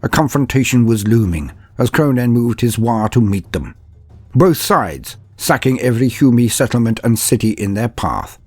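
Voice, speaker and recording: A man's voice: medium pitch, lively intonation, moderate pace, slightly rough, no room sound. A man narrating a comedic fantasy war story in a dramatic, mock-epic way with wry asides. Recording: dry close recording with faint music.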